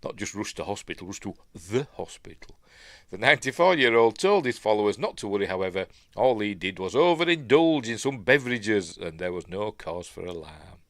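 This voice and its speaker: Lively-voiced Yorkshire man, sniffly. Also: on the low side, fairly quick, dry recording, clean studio sound. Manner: chatty, self-interrupting asides and mumbled afterthoughts. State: sniffly, stuffy nose. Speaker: host of a news live stream. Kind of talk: rambling live-stream commentary.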